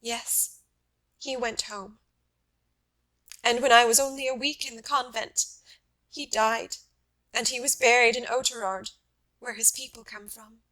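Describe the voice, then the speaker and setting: A woman narrator plays a young wife mourning a lost love in an audiobook story. Her voice is veiled and sorrowful, with halting phrases and a bright, aching tone. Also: fairly high pitch, steady tone, pitched above the performer's own voice, rough recording. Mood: grief-stricken. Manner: sad, halting.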